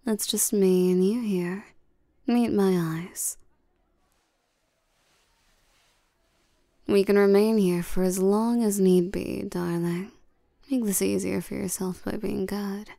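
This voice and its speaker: A woman playing a regal, seductive and domineering vampire queen in a scripted roleplay audio drama, coaxing and commanding the listener with soft menace. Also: mid-range voice, steady tone, takes it slowly, creaky, dry recording, clean studio sound. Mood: commanding, menacing.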